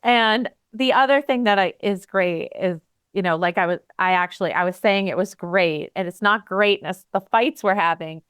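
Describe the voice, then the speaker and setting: A woman hosting an interview, encouraging her guest with frequent warm affirmations. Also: medium pitch, normal speed, smooth, dry recording. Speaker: podcast host.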